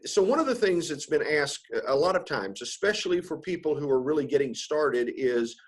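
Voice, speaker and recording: A man hosting a podcast interview in a casual, informal and somewhat halting, effusive conversational way. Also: on the high side, animated.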